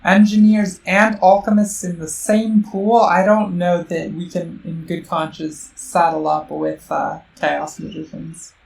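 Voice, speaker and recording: A woman streaming a board game, thinking out loud with plenty of fillers and restarts, her voice worn by a sore throat and a little robotic-sounding. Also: lowish voice, level tone, measured pace, gravelly, few pauses. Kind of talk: casual live-stream game commentary. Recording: roomy, distant mic with faint noise.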